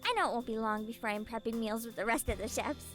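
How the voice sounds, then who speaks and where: A young woman playing a spirited, teasing little sister in a video game, casual, sassy and expressive. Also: on the high side, fast, faint music behind, close to an everyday voice. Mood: weary.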